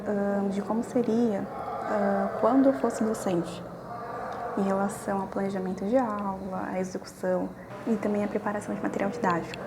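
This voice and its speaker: A woman giving an earnest testimonial as a student teaching assistant, pausing on soft fillers. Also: mid-range voice, noisy background.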